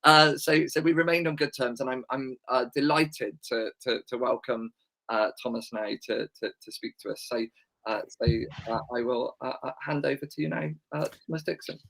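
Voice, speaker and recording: A man's voice: mid-range voice, normal speed, gravelly, fairly bright tone, rough recording. Stammering man introducing a speaker. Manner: stumbling, many repeated words and fillers. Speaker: seminar host. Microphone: video call.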